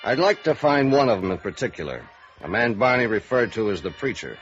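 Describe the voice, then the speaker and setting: A middle-aged American police sergeant of 1950s radio noir. He is low-voiced, terse and dry, with a guarded deadpan delivery. The vintage recording sounds dull, with faint music underneath. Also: a little fast. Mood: businesslike, dry. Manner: clipped, deadpan.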